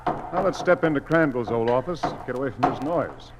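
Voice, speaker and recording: A man's voice: medium pitch, lively intonation, brisk, natural voice. A man playing a courteous, principled American small-town museum director in a radio drama, restrained and plain-spoken. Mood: courteous, businesslike. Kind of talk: mid-century radio drama acting. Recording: noisy, crackly old radio recording.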